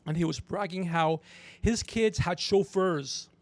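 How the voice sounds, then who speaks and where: A man's voice: high-pitched, unhurried, no echo. A man hosting a livestream in a rambling, casual, joking way, chatting to someone off mic as he goes. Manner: rambling, joking, self-promoting, talks to off-mic crew member. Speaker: podcast host and comedian. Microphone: podcast microphone with headphones.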